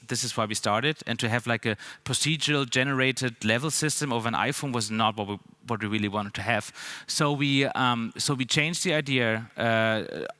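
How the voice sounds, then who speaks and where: A man's voice: mid-range voice, bright, forceful tone, dry recording. Chatty German-accented man on a stage. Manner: loose and chatty, many ums and restarts. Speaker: conference speaker. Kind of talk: conference talk. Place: large dark stage hall. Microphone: headset.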